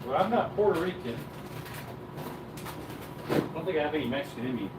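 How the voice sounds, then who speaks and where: A man's voice: on the high side, lively intonation, a little fast, very noisy. A man casually chatting to viewers while cooking at home, rambling in an easygoing way. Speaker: cooking livestream host. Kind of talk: casual live-stream chat while cooking.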